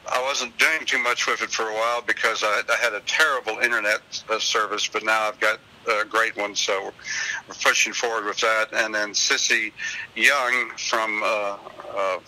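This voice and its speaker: A man's voice: fairly low pitch, pushed and bright, low-quality recording. An older man chatting casually as a call-in guest, telling anecdotes in a rambling, folksy, very polite way. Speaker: phone-in guest, singer and website owner. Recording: hissy radio call-in with clear noise.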